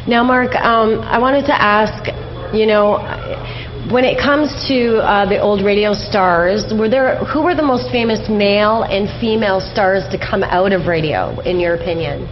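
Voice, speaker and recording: A woman's voice: mid-range voice, unhurried, slight background noise. A woman hosting a casual radio interview, putting questions to a guest and addressing listeners. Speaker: radio show host, interviewer. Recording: dull lo-fi audio.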